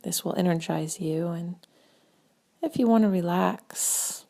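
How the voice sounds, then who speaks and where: A woman guides a meditation in a lowish, relaxed voice with a creaky edge. Her phrases come gently with long pauses between them, soft and breathy, often sinking almost to a whisper.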